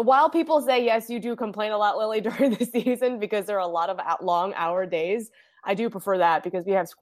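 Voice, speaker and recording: A young woman sports reporter with a husky voice from a cold, chatting casually as a podcast guest, laughing often and restarting her sentences. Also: mid-range voice, dry recording, clean studio sound. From Indiana.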